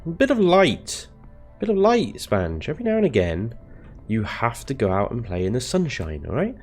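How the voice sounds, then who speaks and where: A hoarse man putting on a high, teasing voice that coaxes him into the sunshine. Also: huge pitch swings, moderate pace, a little mellow, soft background music. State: hoarse from flu.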